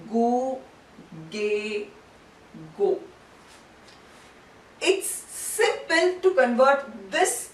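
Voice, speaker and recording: A woman teaching a language lesson step by step, speaking deliberately and repeating syllables and terms for learners. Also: high-pitched. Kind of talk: instructional lesson, step-by-step explanation. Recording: roomy, distant mic, faint background noise.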